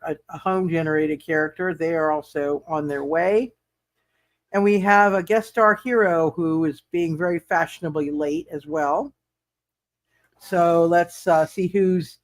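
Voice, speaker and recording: A man's voice: high voice, no room sound. A man hosting a roleplay livestream as game master, chatting casually and often repeating words and restarting his sentences. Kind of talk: casual livestream chat and recap.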